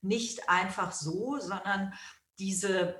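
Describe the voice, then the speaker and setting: A woman being interviewed as a guest, answering in a calm, measured, reflective way. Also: medium pitch. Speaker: guest, yoga school founder. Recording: roomy and distant from the mic.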